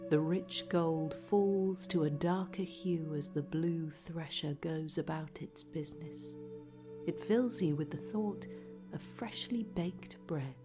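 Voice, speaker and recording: A woman reading a scripted guided relaxation in a soothing, hushed, deadpan way. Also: sits low, slow pace. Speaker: narrator, reader. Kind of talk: scripted guided relaxation narration, parody meditation. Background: music.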